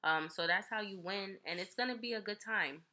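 A woman's voice: medium pitch, brisk, pushed and bright. A woman hosting a podcast in casual chat, a bit congested, rambling and giggly with lots of fillers. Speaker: podcast host.

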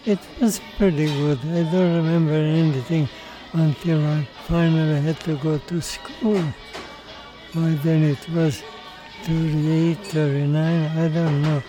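An older man, telling his life story in an interview in a halting way, with many restarts and repeated words. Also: fairly high pitch, steady tone, dark tone, faint music behind, low-quality recording. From Mexico, raised in Hungary. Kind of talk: recorded interview.

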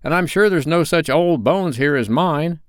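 A man's voice: a little high, moderate pace, no echo, clean studio sound, voice pushed up, natural voice. A man voicing a crafty, wheedling old male rag-and-bone dealer who haggles with a chuckle. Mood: welcoming.

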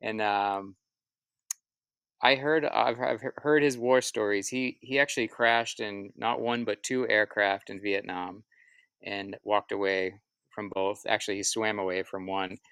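A man with a low voice and a gravelly fry at the edges talks through his stories as an interview guest. He is reflective and halting, circling back over his words. The sound is very dry and close. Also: fairly even intonation, fairly bright tone, no echo. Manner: halting, reflective, self-repeating. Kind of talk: casual interview answers, storytelling.

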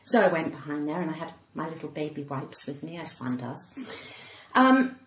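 A woman's voice: low-pitched, very animated. Theatrical cut-glass Englishwoman in an echoey room. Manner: theatrical, uses dramatic pauses and acted dialogue. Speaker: comedian guest telling anecdotes. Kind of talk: animated anecdote storytelling in casual interview. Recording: echoey room with faint background noise.

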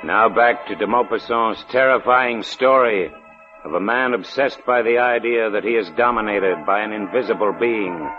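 A man's voice: on the low side, moderate pace. A man announcing and narrating from a script in a dramatic 1940s radio style. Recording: muffled old radio recording with music behind.

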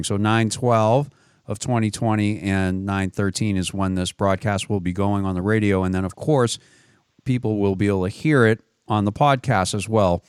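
Low, smooth-voiced male radio host. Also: no echo, clean studio sound. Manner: interrupts to clarify, repeats words.

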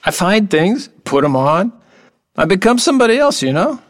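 A man acting in an audio drama as an odd, playful, lonely middle-aged workman, whimsical and halting, with earnest oddball candor. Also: high-pitched, sing-song. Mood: quirky, candid.

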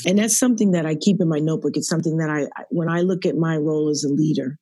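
A middle-aged woman with a deep, raspy voice, a podcast guest speaking earnestly about her faith and growing up. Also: rapid-fire, mellow, no room sound, studio quality. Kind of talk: reflective podcast interview. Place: at work.

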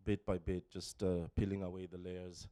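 Low-voiced man giving a halting testimony. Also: flat delivery, dark tone, no echo, studio quality. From Kenya. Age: 40s. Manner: halting, many fillers and restarts, emotional. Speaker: church member giving testimony. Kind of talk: personal testimony. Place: church. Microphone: handheld microphone.

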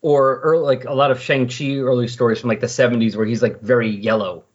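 A man's voice: mid-range voice, steady tone, brisk, highs cut off. A man talking casually as a podcast co-host in a rambling, conversational way about comics.

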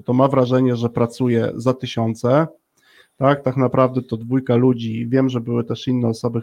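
A man's voice: mid-range voice, creaky, a little mellow, highs cut off. This is a male podcast host with a mid-range voice. He talks in a conversational interview style, wordy and affirming toward the people he is speaking with.

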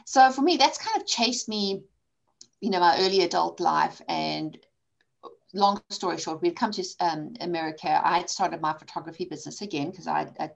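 A woman in her forties from South Africa, a guest in a conversational interview, telling her story candidly with quick asides. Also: fairly low pitch, creaky, muffled recording. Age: 40s. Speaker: podcast guest, coach and author.

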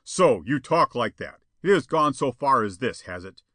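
A man voicing a stern, bitter, domineering father in a storybook, with a gruff, growling, commanding delivery. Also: mid-range voice, expressive, moderate pace, a bit bright, no room sound, clean studio sound, lightly acted. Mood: angry.

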